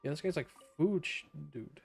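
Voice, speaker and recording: Casual male streamer riffing sarcastically over a game, soft music under. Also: fairly high pitch, animated, normal speed, breathy edge. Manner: joking, sarcastic asides. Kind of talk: casual live game commentary. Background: faint game music.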